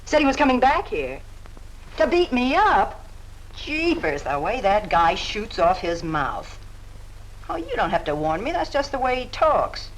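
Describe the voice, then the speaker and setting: Scornful American dame for vintage melodrama: high, slow drawl, slangy and mocking, old noisy soundtrack. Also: female voice, sing-song. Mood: lovestruck, dismissive. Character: brassy, scornful young woman in love with a lout. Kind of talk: mid-century American film melodrama acting.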